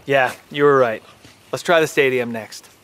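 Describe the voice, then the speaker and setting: A man's voice: mid-range voice, normal speed, fairly bright tone, slight background noise, natural voice. An actor playing an affectionate father and dedicated scientist in a naturalistic audio drama. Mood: reflective, warm.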